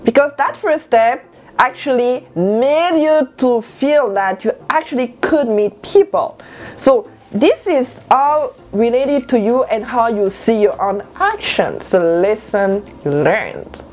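A woman's voice: on the high side, slow pace. Emphatic French-native woman vlogger. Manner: animated and emphatic, gesturing, repeats lines for stress. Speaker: lifestyle vlogger. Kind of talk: motivational talk to camera. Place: bedroom at home, indoors.

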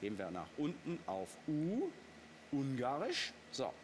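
A man's voice: mid-range voice, a little slow, noisy background. A middle-aged man presenting products on a shopping channel in a TV studio, joking and chatty. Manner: joking, lively, restless asides. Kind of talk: casual product demonstration. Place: TV studio stage.

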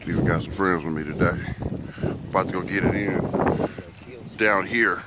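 A man's voice: deep voice, moderate pace. A man hosting a casual fishing vlog outdoors, talking in hyped slang with frequent swearing. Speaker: host of a fishing show. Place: outdoors on a fishing boat and dock. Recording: windy outdoor recording, loud boat engine noise. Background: wind, water, boat engine.

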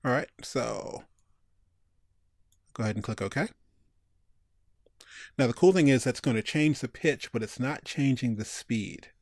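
A man's voice: medium pitch, measured pace, long pauses, no room sound, studio quality. A man giving a casual screencast tutorial, informally talking through each step and thinking aloud. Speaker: tutorial instructor.

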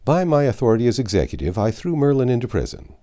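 An older man reading a classic novel aloud as a narrator, in measured storytelling phrases with dramatic pauses. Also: medium pitch, very animated, mellow. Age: 50s or 60s. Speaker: audiobook narrator. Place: small home library, indoors.

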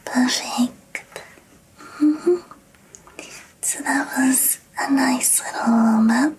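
A woman doing an ASMR roleplay as a hearing tester, giving gentle, reassuring instructions in a breathy whisper. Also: sits high, fairly even intonation, very slow.

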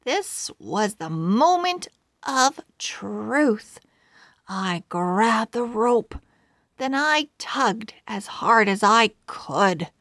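Slow, lively woman reading a children's story. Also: fairly high pitch, dry recording, studio quality. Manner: deliberate, carefully articulated. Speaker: children's book read-aloud host. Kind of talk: expressive children's storybook narration.

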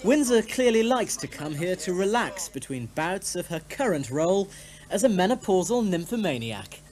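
A woman's voice: fairly low pitch, big pitch swings, normal speed, darkish tone. A woman with a British accent reading a scripted news report voice-over.

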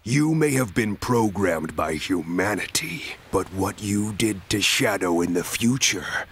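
An actor playing a gloating demonic shadow villain in a video game, ominous and theatrical. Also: male voice, sits low, expressive, husky, full character voice. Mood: taunting. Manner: slow, ominous, theatrical delivery. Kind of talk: video game cutscene acting. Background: loud background music.

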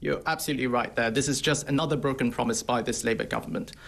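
Fast-talking husky young man. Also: medium pitch, fairly bright tone. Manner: brisk, assertive talking points. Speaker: think-tank researcher. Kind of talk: remote interview commentary. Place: office room. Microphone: video call.

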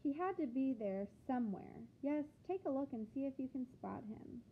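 A woman with a fairly high voice reads a picture book aloud to children, expressively and with deliberate phrasing. Her voice has a clear creak, and she pauses to put questions to her young listeners. The sound is thin and phone-like, with some background noise. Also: expressive. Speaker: teacher reading aloud to children.

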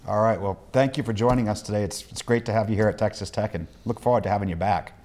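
A young man hosting a studio interview show, asking questions briskly and introducing guests. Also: on the low side, steady tone, a little mellow, a little hiss or hum. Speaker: show host. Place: TV studio set, seated in armchair.

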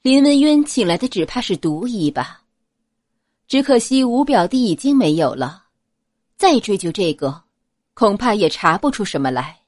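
A woman narrates a novel in an expressive, dramatic storytelling style. Her intonation is lively and varied, and the recording is close and dry. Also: medium pitch, moderate pace, dry recording. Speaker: audiobook narrator.

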